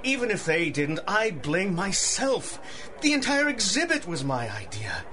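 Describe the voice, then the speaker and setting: A man playing a proud, distraught wealthy gentleman in 1930s-style radio drama, melodramatic, wounded and formal. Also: high voice, very animated, measured pace, full character voice. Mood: anguished.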